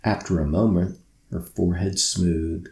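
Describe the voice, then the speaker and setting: A man reading a children's story aloud as scripted narration, in a measured, gentle bedtime manner. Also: low voice, steady tone, gravelly. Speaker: author reading own story. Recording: roomy, echoey sound.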